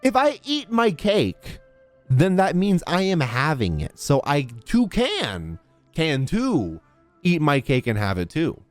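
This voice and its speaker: Animated male game streamer, swinging pitch. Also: slow, dry recording, faint music behind. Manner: expressive, blunt, slangy, talks back to game characters. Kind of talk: casual gameplay commentary.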